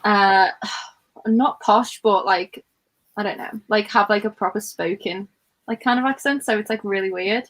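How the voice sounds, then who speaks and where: A woman's voice: mid-range voice, steady tone, unhurried, a bit bright. A woman with a Scouse accent from Liverpool, hosting a casual chat with lots of ums and laughs. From Liverpool, England. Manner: chatty, giggly, many ums. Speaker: host.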